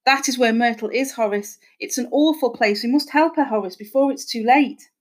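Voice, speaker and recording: A woman's voice: on the high side, moderate pace, a bit bright, lightly acted. A woman voicing a scheming female fake medium who feigns sympathy, pleading melodramatically as if in a trance.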